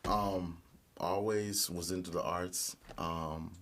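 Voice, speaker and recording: A man's voice: sits low, steady tone, slow pace, fairly bright tone. A man from Michigan, a guest singer-songwriter, telling his story in a friendly, easygoing way with fillers. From Michigan, United States. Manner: friendly, laughs easily, fillers. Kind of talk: casual interview chat.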